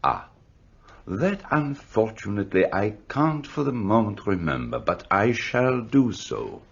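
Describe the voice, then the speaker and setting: A precise middle-aged detective: measured, formal, husky, dull lo-fi sound. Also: male voice, fairly low pitch, sing-song, exaggerated voice. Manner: measured, formal, faintly foreign phrasing. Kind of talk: radio play acting. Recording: dull muffled studio audio.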